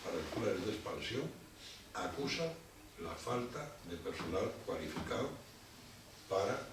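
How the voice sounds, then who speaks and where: Deep-voiced man lecturing in an echoey hall. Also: big pitch swings. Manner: halting, repeats words while thinking, explanatory. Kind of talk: public lecture. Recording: distant mic in an echoey hall with background noise.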